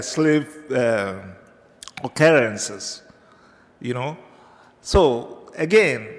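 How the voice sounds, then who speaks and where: A man from Ghana with a Ghanaian accent, giving an unscripted academic lecture to teachers, hesitant with many filler pauses and digressions. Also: mid-range voice. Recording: reverberant lecture hall.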